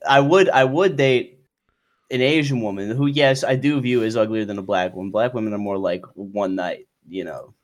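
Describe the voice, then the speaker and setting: A man's voice: fairly low pitch, normal speed. Sardonic man on a comedy podcast. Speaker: podcast co-host. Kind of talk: crude comedy podcast banter.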